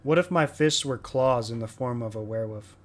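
A man with an ordinary mid-range voice joins a casual gaming chat. He is playful and teasing, quick to laugh along with the others. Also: mid-range voice. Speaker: podcast co-host.

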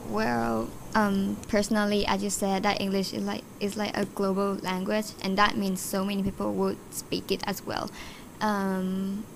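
A woman's voice: mid-range voice, monotone, normal speed, creaky, a little hiss or hum, rough recording. A nineteen-year-old woman from Thailand, a guest chatting casually on a podcast, with many fillers. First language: Thai. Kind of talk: casual interview chat.

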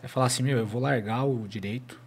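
Husky-voiced male interviewer. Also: medium pitch, quick pace. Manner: relaxed, asks prepared questions. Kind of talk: casual interview questions.

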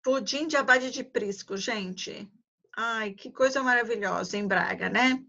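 A woman with a Brazilian accent and a voice on the high side speaks as a tour guide being interviewed. Her style is conversational. The sound is muffled and lo-fi. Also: a little slow, gravelly, sharp tone. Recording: dull, muffled lo-fi audio.

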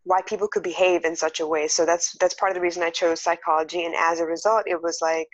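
Low-voiced woman guest, dull close recording. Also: flat delivery, fast, no room sound. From born in Singapore, raised across several countries. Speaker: podcast guest, emotional intelligence coach. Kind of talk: casual, reflective interview talk. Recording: dull, slightly muffled lo-fi audio.

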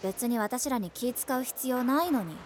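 An actress voicing a blunt, irritable teenage schoolgirl in a voice drama, speaking in a sharp-tongued way. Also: fairly high pitch, lively intonation, moderate pace, slight background noise, natural voice. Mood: irritated. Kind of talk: amateur voice drama.

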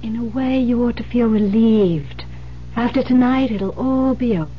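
A woman playing a composed, determined confidante in an old-time radio thriller, earnest, deliberate and reassuring. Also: fairly high pitch, close to an everyday voice. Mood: earnest, insistent. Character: Iris, composed, determined woman coaching a frightened friend. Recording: muffled old radio recording with noise, hum.

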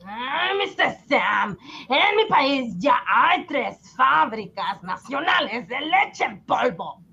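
Mujer haciendo de ministro escéptico, voz muy aguda. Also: female voice, high-pitched, big pitch swings, slow pace, creaky, bright, forceful tone, slightly rough, dull audio, heavily acted. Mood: probing. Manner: questioning, pointed. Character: skeptical, probing government minister of a poor country. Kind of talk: satirical radio drama acting.

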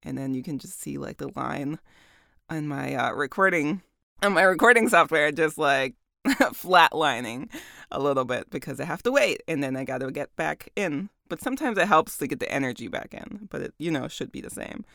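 A woman voice actor with a deep voice, chatting casually about her work, laughing often and using lots of fillers. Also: animated, normal speed, gravelly, a bit bright, dry recording, studio quality. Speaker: voice actor and audiobook narrator.

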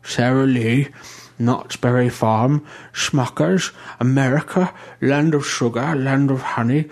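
A man voicing a fussy, sweet-obsessed male bishop who talks in a prissy, gushing way, set back from the mic and higher than his own voice. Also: fairly even intonation, slow, a little mellow. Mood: dreamy.

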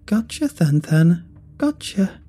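A man voicing a deranged, lecherous old woman in a horror story, with a soft, crackling, creepily sweet voice. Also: high voice, sing-song, slow pace, husky, faint music behind, voice pushed up, exaggerated voice. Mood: creepy, taunting.

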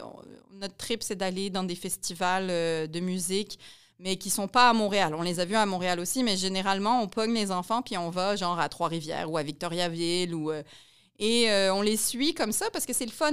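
A woman's voice: medium pitch, fairly bright tone, clear, resonant tone. A woman with a Quebec French accent, telling stories in a chatty, self-mocking podcast monologue. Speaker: podcast host. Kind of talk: casual storytelling monologue.

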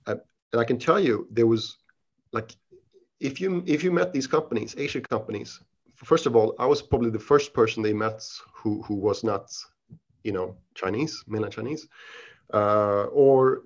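A man with a Swedish accent and a mid-range voice answers interview questions in a halting, casual way, often restarting his sentences. The audio is dull and lo-fi. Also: medium pitch. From Sweden. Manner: halting, many restarts and fillers. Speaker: podcast guest, investment researcher.

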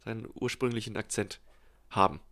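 A man's voice: fairly low pitch, steady tone, a little fast, gravelly, fairly bright tone. A man chatting casually and with strong opinions about a film on a podcast. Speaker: podcast co-host. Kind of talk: casual film review chat.

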